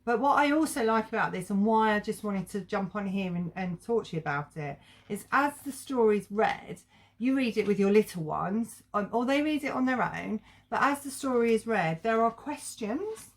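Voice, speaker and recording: A middle-aged woman giving a casual, chatty review to viewers in an informal, rambling, conversational way. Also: medium pitch. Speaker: children's book reviewer and vlogger.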